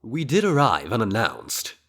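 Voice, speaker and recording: Man as a stern, animated pony chamberlain. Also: lowish voice, heavily acted. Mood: exasperated. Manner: formal, measured diction, reproving. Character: stern, dignified senior royal chamberlain, a male pony. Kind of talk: fan-made radio drama acting.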